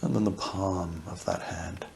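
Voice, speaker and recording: A man leading a guided yoga nidra relaxation, speaking softly and soothingly, unhurried, with long pauses. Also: sits low, gravelly. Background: crackling fireplace ambience.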